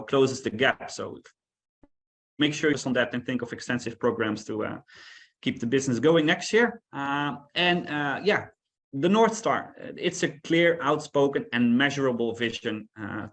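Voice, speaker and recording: A man presenting a business webinar as the subject matter expert, explaining in a relaxed way with fillers. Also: mid-range voice.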